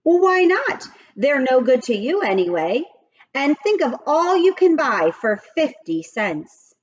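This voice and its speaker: A sly schoolyard teacher from a storybook, a man played by a woman, coaxing and haggling in an animated, unhurried voice. The sound is a little dull and lo-fi. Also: high-pitched, pitched above the performer's own voice, heavily acted. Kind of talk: children's storybook read-aloud.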